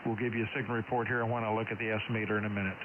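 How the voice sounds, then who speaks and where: A man's voice: on the low side, little pitch movement, rapid-fire, narrow, tinny audio. A man chatting casually and folksily as an amateur radio operator, heard through a shortwave radio transmission. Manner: folksy, conversational. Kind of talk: casual radio contact chat. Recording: thin band-limited radio signal with hiss.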